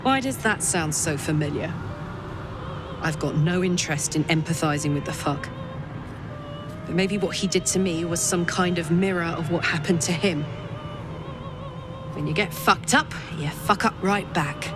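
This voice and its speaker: A woman's voice: lowish voice, moderate pace, pauses often, natural voice. A woman voicing a blunt, foul-mouthed warrior in a video game cutscene, who swears freely. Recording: music underneath.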